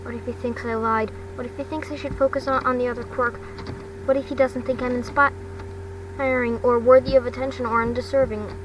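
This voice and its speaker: A woman's voice: a little high, level tone, fairly quick, natural voice. A woman voicing a vulnerable teenage boy hero student in a fan fiction reading, hesitant and earnest. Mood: anxious. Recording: distant mic, clearly noisy background.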